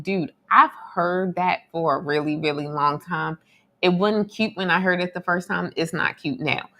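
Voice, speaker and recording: A woman's voice: deep voice, sharp tone. A woman in her twenties co-hosting a podcast, blunt and emphatic in her opinions. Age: 20s. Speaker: podcast co-host. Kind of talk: casual commentary chat.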